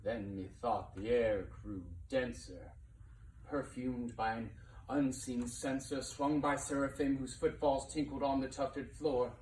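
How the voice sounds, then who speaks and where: A man giving a dramatic poetry reading as narrator, theatrical in manner, swelling from hushed to impassioned delivery. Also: medium pitch, fairly even intonation, slow pace. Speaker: narrator reading a poem aloud. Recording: roomy, distant mic with faint noise.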